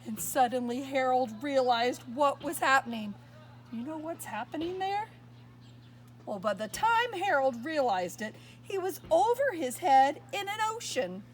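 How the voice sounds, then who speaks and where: A woman reading a picture book aloud to young children, expressive and pausing to ask her listeners questions. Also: sits high. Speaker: storyteller.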